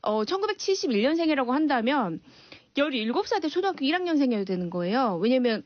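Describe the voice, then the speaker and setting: A woman reporter on a radio talk show, eager and quick to laugh, walking through her fact-checking. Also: high-pitched, big pitch swings, dry recording. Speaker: entertainment reporter. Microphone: studio microphone. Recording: dull dry studio sound.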